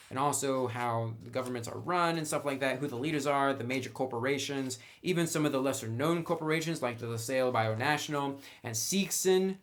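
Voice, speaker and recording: Male reviewer, medium pitch, informal and conversational delivery, freely giving opinions. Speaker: tabletop game book reviewer. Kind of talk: casual review commentary.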